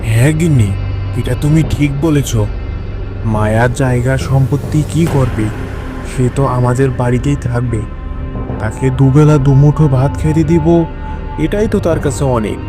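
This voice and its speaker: A man's voice: medium pitch, moderate pace, close to an everyday voice. A man voicing an easily swayed husband and elder brother in a children's cartoon, with music behind him. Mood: agreeing.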